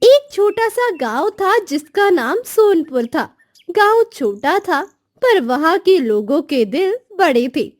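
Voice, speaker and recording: High-pitched woman narrating a village tale. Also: very animated, slow. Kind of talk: scripted story narration.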